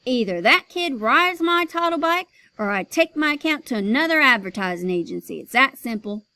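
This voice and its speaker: A pushy, cigar-chomping company boss man who barks his demands loudly, as a woman reader puts him on. His voice is pitched high and gravelly, with a dry, close sound. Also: expressive, normal speed, no echo, heavily acted. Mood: bossy. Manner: loud, bossy, shouting. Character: blustering cigar-chomping male company president.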